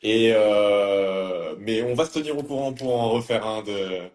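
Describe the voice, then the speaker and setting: A man hosting a live video talk, speaking in a relaxed, friendly way with many fillers. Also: lowish voice, a little slow. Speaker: host. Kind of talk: casual live interview. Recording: echoey room.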